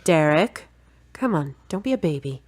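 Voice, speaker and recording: A woman playing a brisk, low-pitched, frank girlfriend. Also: sing-song, a little mellow. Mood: exasperated, defensive. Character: brisk, frank girlfriend, slightly bossy, stubborn. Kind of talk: acted audio drama dialogue.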